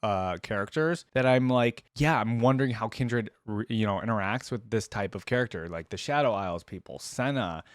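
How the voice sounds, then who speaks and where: A man's voice: fairly low pitch, normal speed, few pauses, no echo, studio quality. A man hosting a reaction video, giving casual, slangy, musing commentary on game voice lines and repeating phrases back.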